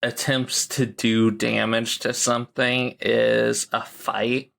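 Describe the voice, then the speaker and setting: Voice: medium pitch, little pitch movement, slow, creaky, a bit bright. A tabletop game player casually describing actions and discussing rules. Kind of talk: casual improvised game play.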